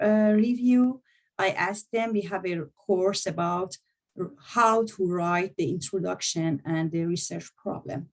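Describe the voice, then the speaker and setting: Woman, non-native english speaker, course instructor, slow delivery, hesitant, searches for words, video call mic. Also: medium pitch. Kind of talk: presenting a course overview in an online meeting.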